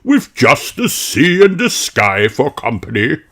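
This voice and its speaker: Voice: mid-range voice, very animated, slow, fairly bright tone, slightly rough, pitched above the performer's own voice, exaggerated voice. A storybook reader voicing a lonely, eccentric old male rabbit who keeps a lighthouse. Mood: wistful, eager. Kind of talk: children's storybook character voice.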